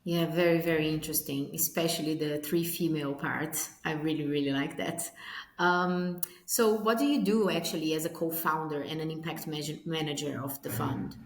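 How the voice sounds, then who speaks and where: A woman's voice: low-pitched, fairly even intonation, normal speed, slight background noise. Upbeat woman hosting in an echoey room. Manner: upbeat, reading out questions. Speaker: host. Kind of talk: interview hosting.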